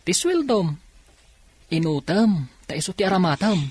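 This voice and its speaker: A man's voice: high-pitched, sing-song, moderate pace, a little mellow, husky, dry recording, exaggerated voice. Earnest young factory lad played by a man. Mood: earnest, encouraging. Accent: Ilocano. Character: earnest, grateful, hopeful young factory worker. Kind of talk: radio drama acting.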